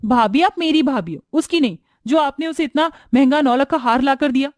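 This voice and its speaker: Cartoon role: envious young sister-in-law acted by a woman, high-pitched, sulky and impulsive. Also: animated, fairly quick, a little hiss or hum. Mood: hurt, jealous.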